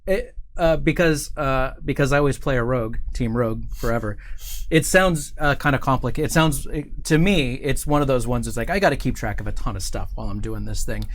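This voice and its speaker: A middle-aged man hosts a casual studio interview. His mid-pitched voice moves haltingly, doubling back on phrases as he puts questions to guests. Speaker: host. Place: small curtained studio, seated at table.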